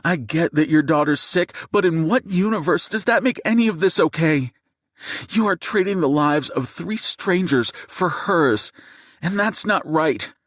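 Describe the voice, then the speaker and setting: A man's voice: a little high, unhurried, fairly bright tone, no echo, clean studio sound, pitched above the performer's own voice. A man voicing a furious, defiant mother in an audiobook, seething and confrontational. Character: furious, fierce, defiant mother held at gunpoint. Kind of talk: audiobook character acting.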